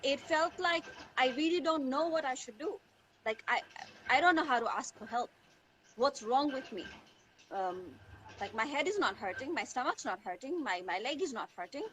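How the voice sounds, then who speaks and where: A woman's voice: high voice, lively intonation, normal speed, sharp tone, rough recording. A woman from Tanzania answering as an interview guest in a conversational way, searching for words and repeating phrases for emphasis. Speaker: interview guest, teacher and mental health advocate. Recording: online call with faint background noise.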